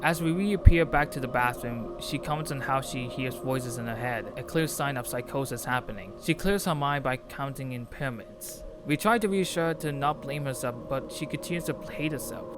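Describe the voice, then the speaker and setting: A man's voice: medium pitch, normal speed, few pauses. Earnest man narrating a video essay over music. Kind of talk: scripted video essay commentary on a game. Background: music playing under the voice.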